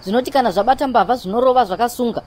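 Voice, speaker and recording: A woman's voice: on the high side, rapid-fire, darkish tone, close to an everyday voice. A woman voicing an exasperated, well-off young Zimbabwean peacemaker who remonstrates in a comedy cartoon. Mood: indignant. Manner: remonstrating, mixing in English phrases.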